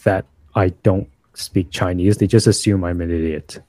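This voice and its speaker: Chill guy saying dude a lot, low warm voice, dry close sound. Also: fairly even intonation, normal speed, no room sound. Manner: laid-back, slangy, says "dude". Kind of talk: casual chat.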